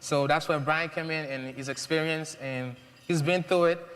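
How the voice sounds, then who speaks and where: A man's voice: fairly high pitch, fairly even intonation, moderate pace, a bit bright. Earnest young man from Haiti, hall echo. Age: young adult. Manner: earnest, with many fillers. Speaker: mentee. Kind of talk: prepared speech on a panel. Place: large event hall. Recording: long hall reverb.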